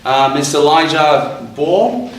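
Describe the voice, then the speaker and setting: A man's voice: a little high, lively intonation, slow. A man moderating a panel forum, speaking hesitantly with many ums and uhs. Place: community forum venue. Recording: very echoey hall, mic at a distance.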